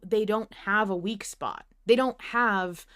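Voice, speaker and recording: A woman's voice: mid-range voice, slow pace, a bit bright. A woman hosting a podcast, talking casually about a story with her co-host. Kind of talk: casual discussion of a fiction podcast.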